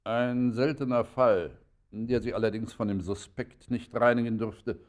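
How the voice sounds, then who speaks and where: An actor playing a pompous, officious district magistrate in a German radio play, speaking with formal courtesy and measured bureaucratic authority. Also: male voice, mid-range voice, steady tone, natural voice. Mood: formally courteous, warning.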